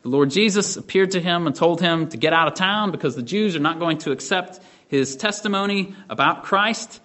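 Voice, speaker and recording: A man's voice: a little high, normal speed, fairly bright tone. A man teaching a Bible study lesson in a conversational, explaining way, often checking in with "right?".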